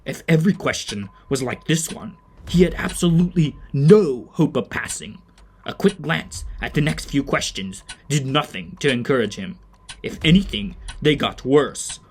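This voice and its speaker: A man's voice: medium pitch, animated, normal speed, slight background noise. A man narrating an audio drama in a scripted storybook style.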